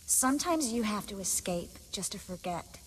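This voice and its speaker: An actress playing a young sorceress in a video game, wry, guarded and teasing. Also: female voice, medium pitch, expressive, moderate pace, big-room sound, a little hiss or hum, close to an everyday voice.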